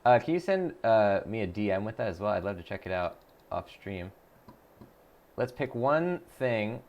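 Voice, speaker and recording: Low gravelly man streaming casually. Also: sing-song, normal speed, dull audio. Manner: rambling, thinks aloud with many fillers and restarts; reads viewer messages aloud and answers them. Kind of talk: casual live-stream chat while practicing a language app.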